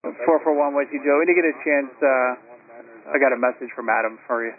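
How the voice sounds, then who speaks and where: A man's voice: medium pitch, a little fast. Guy doing air traffic control, easygoing and helpful wording, really narrow tinny radio sound. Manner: informal, accommodating. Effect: aviation radio. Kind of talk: radio communication.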